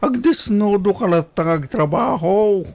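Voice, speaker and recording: High-pitched, scolding Ilocano father. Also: animated, a little slow, creaky, darkish tone, exaggerated voice. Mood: incredulous scolding. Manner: nagging, emphatic. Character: scolding, disbelieving older father. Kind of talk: radio drama acting.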